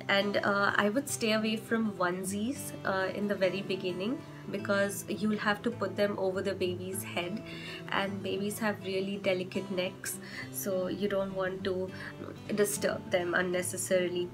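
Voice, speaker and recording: A young woman vlogging with chatty parenting advice, her voice hoarse from a cold, often stopping to rephrase with fillers. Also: mid-range voice, flat delivery, a little slow, over music. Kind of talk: casual advice vlog.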